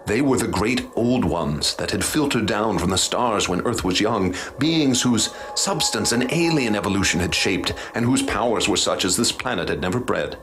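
An actor playing a haunted male professor, speaking in a formal, measured, ominous way in a radio drama. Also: on the low side, lively intonation, a bit bright. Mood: ominous, troubled. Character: grave haunted male professor recounting horrors he witnessed. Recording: clear background noise and ambience.

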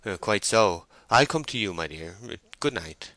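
A reluctant army sergeant from a storybook, played by a man, speaks in a forceful, fairly low voice. He is guarded and dismissive, evasive at every turn, in a dry close recording. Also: lively intonation, moderate pace, no echo. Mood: placating, evasive.

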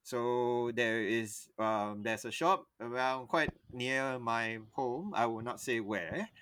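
Bright-voiced man telling a story. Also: medium pitch, slow pace, clean tone. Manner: rambling, often stops and restarts mid-sentence. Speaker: podcast co-host. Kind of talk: casual storytelling chat.

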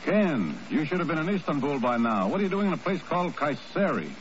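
Animated, exasperated male bureau chief. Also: on the high side, fairly quick. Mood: exasperated, incredulous. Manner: brusque, emphatic. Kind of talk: 1950s radio drama acting. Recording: muffled, hissy old radio recording.

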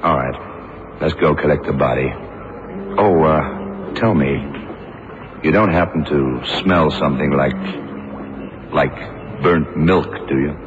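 An extremely low-voiced, brooding detective holds the floor with grave authority. He speaks in terse, deliberate phrases in vintage radio drama style, on a muffled, noisy old recording. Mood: somber, knowing. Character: grave blind male detective, shrewd, brooding, commanding. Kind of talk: 1970s radio drama acting.

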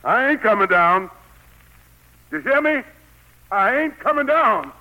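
A man's voice: very high voice, expressive, slow, pushed and bright, full character voice, poor audio. An actor playing a weary, stubborn, fatherly God in an old radio drama, with a grave manner. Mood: defiant. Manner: folksy Southern dialect, grave and authoritative. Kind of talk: 1940s radio drama acting. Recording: old 1940s radio broadcast recording.

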